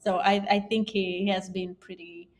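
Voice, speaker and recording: A woman co-hosting a live stream, chatting casually with giggly, quick banter. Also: medium pitch, level tone, a little mellow. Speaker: livestream co-host.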